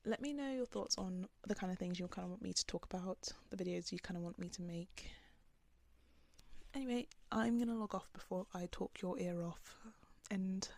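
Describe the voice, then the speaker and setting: A young woman talking casually to camera in a calm, soft voice, rambling with lots of "like"s. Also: medium pitch, moderate pace, vocal fry, no room sound. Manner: rambling, filler-heavy with many "like"s. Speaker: vlogger. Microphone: camera on the speaker.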